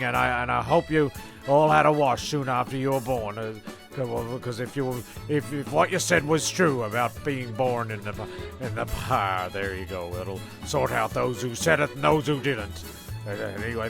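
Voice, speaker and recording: A man's voice: mid-range voice, moderate pace, creaky. A man hosting and narrating a comic sketch show in a rambling, stammering, sweary way. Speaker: narrator and host. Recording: homemade audio with faint music behind.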